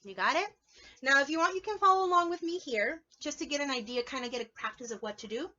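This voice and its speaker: A woman's voice: sits high, expressive, quick pace, sharp tone, dull audio, slight background noise. A woman preschool teacher reading aloud and leading a drawing lesson for young children, playful and encouraging, often asking her listeners questions.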